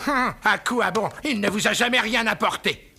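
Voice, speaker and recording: Cartoon miser, old man: high-pitched, heavily creaky, scornful grumbler, curt and bitter. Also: moderate pace, sharp tone, slight background noise, full character voice. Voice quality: gravelly, raspy. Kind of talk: French-language cartoon voice acting.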